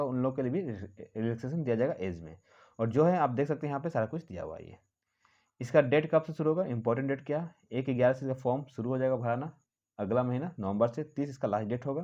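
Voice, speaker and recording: Fast-talking man explainer, dull lo-fi audio. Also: medium pitch, dark tone. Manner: repetitive, with frequent check-in tags. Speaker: host of a job vacancy information channel. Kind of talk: informal explainer, reading a notice aloud and commenting.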